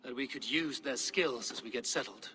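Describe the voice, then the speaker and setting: An actor playing a shrewd, confident Viking warrior who talks in a cool, assured way in a game. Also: male voice, medium pitch, moderate pace, pushed and bright, lightly acted, rough recording.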